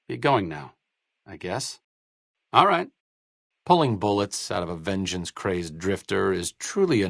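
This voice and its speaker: Video game companion: a bookish, sarcastic male doctor, idealist and self-deprecating, very varied pitch, no room sound. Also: fairly bright tone, no room sound, heavily acted. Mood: earnest, subdued. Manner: dry, sarcastic, wordy delivery.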